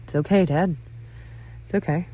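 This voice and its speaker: A woman's voice: on the low side, moderate pace, warm tone, close to an everyday voice, low-quality recording. A woman voicing a dutiful young man, a grown son, hesitant and placating in a dramatic script reading.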